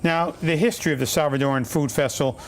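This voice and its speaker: A man, a city mayor, hosting a local cable talk show, reading announcements in a measured, formal way and chatting with a guest. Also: a little high.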